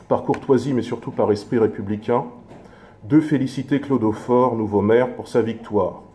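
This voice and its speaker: A man speaking as an opposition councillor in a formal political speech, measured, with halting, self-corrected phrasing. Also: mid-range voice, dark tone.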